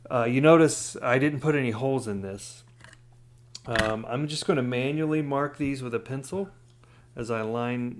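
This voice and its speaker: A man's voice: medium pitch, vocal fry. A man with a mid-range voice hosts a hobby how-to. He speaks informally, as in a casual demonstration. He explains his work step by step. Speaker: DIY hobbyist video host. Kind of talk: casual hands-on tutorial talk.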